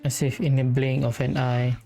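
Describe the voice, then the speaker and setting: A woman giving casual, rambling let's-play commentary as a gaming video host, in a low-key and hesitant manner. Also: little pitch movement, normal speed, creaky, over music.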